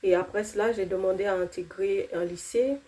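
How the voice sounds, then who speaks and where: Earnest woman with a mellow voice. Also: medium pitch, fairly even intonation, fairly quick. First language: not French. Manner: earnest, with fillers and restarts. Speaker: testimony speaker. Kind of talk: spoken personal faith testimony.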